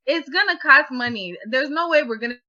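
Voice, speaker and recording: Bright, blunt Nigerian woman. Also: high voice, a little fast, muffled recording. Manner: blunt, laughing, teasing. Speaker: guest. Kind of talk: casual podcast chat.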